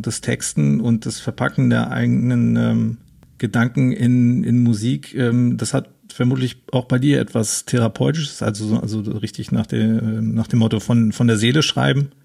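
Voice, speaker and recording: A man with a husky, gravelly voice, asking long interview questions. He hesitates often, with fillers and restarts, in a casual chat. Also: medium pitch, steady tone, normal speed, darkish tone. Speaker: podcast host.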